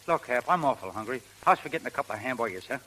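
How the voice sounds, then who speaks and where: Girl-crazy young pug, naive and stubborn, bright lively voice, crackly old radio recording. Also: medium pitch, rapid-fire. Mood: sheepish. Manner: slangy, naive. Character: dim, girl-crazy young male boxer, sheepish and stubborn. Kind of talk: 1930s radio comedy-drama acting. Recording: noisy crackling 1930s radio broadcast.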